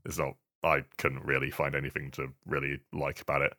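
A man's voice: very deep voice, little pitch movement, brisk, bright, forceful tone, no echo, clean studio sound. A man talking casually on a podcast, sometimes reading a statement aloud. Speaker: podcast panellist.

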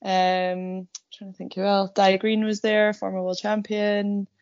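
A Scottish woman in her thirties, an athlete guest in an interview, slightly croaky, talking casually with many pauses and fillers. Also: medium pitch, no room sound, lo-fi sound. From Scotland. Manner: relaxed, many ums, light laughs.

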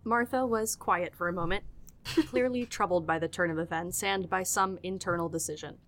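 A woman's voice: on the low side, normal speed, creaky, a bit bright. A woman reading scripted third-person narration for an audio drama as its storyteller.

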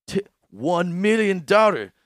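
Young male co-host, animated pitch, quick laughs, dry close mic. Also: dry recording. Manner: lively, laughing often, game-show voice bits. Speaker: podcast co-host. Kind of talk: crude comedic podcast banter. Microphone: studio podcast microphone.

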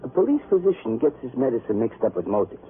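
An actor playing a gentle, hesitant police doctor, halting, apologetic and earnest, in old-time radio crime drama style. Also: male voice, mid-range voice, sing-song, natural voice. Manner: halting, apologetic, thoughtful, swooping intonation. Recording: muffled, faintly noisy vintage radio recording.

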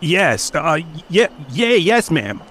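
A man's voice: fairly high pitch, sing-song, takes it slowly, a bit bright, slight background noise, full character voice. An actor playing a nervous, timid henchman who hesitates and stammers, in an old-time radio drama. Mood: cowed.

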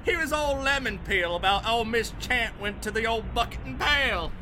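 A man's voice: very high-pitched, normal speed, bright, forceful tone, a little hiss or hum, exaggerated voice. A man voicing a chirpy Cockney bloke who banters cheekily in rhyming slang, with a Cockney accent. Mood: cheeky. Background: music.

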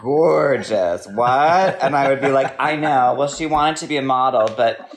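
A middle-aged man hosts a casual podcast chat. He is a playful, theatrical storyteller who slips into acted-out quotes, in a medium-pitched voice. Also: measured pace. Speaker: podcast host.

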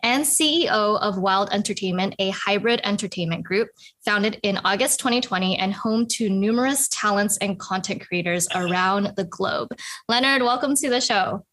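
A woman's voice: mid-range voice, moderate pace, a bit bright. A woman co-hosting a podcast, reading a scripted introduction of the show and its guest. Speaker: podcast co-host.

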